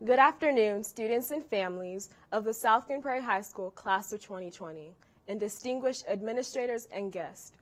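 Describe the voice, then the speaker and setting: A teenage girl whose first language is Yoruba gives her valedictorian address. She speaks formally, with a note of gratitude, in a voice of middle pitch. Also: moderate pace, low-quality recording. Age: teens. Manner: formal, grateful. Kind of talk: prepared ceremony speech.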